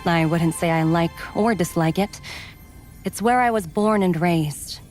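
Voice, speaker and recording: A woman voicing a loyal, battle-hardened female android warrior in a video game, speaking in a measured, sincere, soldierly way. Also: on the low side, natural voice. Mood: reflective. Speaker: video game character voice actor. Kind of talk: scripted game character voicelines. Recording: studio voice under loud pop music. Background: loud pop music.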